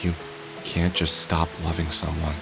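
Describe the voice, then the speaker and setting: A man's voice: extremely low pitch, monotone, unhurried, vocal fry, over music, full character voice. A man playing a weary, skeptical middle-aged protagonist nursing heartbreak in a visual novel game, speaking in a hesitant, conversational way. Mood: sombre.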